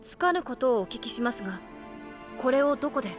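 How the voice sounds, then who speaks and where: A young healer from an anime game. She is gentle and composed, with a fairly high voice. Her speech is formal, courteous and measured, over faint music. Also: lively intonation. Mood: curious, apologetic.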